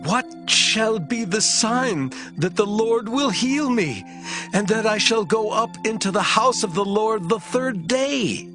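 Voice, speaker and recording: A man's voice: high-pitched, expressive, slow, music in the background. An actor playing a devout, ailing king of Judah in a dramatized Bible, speaking solemnly in archaic scripture. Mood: earnest pleading. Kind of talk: dramatized Bible audio acting.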